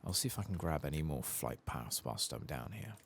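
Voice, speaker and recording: A soft-spoken man giving rambling ASMR commentary as he plays a game, sometimes dropping into a whisper. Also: extremely low pitch, big pitch swings, fairly quick, slight background noise. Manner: rambling, musing to himself; often drops into whispers. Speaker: host of a gaming playthrough channel.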